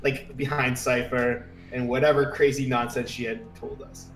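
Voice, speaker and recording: A man at a tabletop roleplaying game describes what his character does. His voice is mid-pitched and sounds roomy and distant from the mic, with casual, halting phrasing. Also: little pitch movement, fairly bright tone. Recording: roomy echo, distant mic, faint music.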